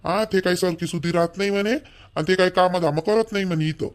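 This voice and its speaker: A man acting a high-pitched, scolding village husband. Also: fairly even intonation, quick pace, close to an everyday voice. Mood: reproachful. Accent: Vidarbha Marathi. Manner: blunt, nagging, repetitive complaining. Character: scolding, fault-finding young village husband. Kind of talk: amateur cartoon dialogue in rural dialect.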